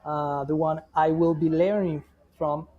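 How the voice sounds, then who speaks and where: A man giving marketing feedback in a conversation, halting, with frequent restarts and fillers. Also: fairly high pitch, steady tone, phone-quality sound. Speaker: guest marketing expert. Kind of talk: reviewing examples in conversation.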